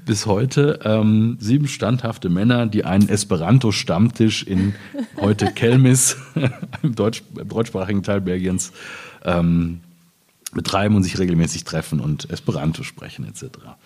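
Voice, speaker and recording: A man's voice: fairly low pitch, moderate pace, mellow, husky. A man speaking as a poet in a spontaneous literary conversation, reflective and often searching for his words. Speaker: poet in discussion.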